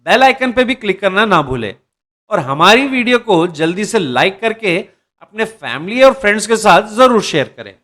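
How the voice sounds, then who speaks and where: A man's voice: high-pitched, big pitch swings, moderate pace, pushed and bright. A man presenting a job recruitment update to viewers, addressing them directly and sometimes restarting as he reads out details. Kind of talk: explainer reading job details.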